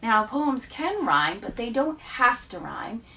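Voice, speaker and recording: A woman teacher reading children's poems aloud with a deliberate, expressive storytelling delivery. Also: fairly high pitch, far from the mic, low-quality recording.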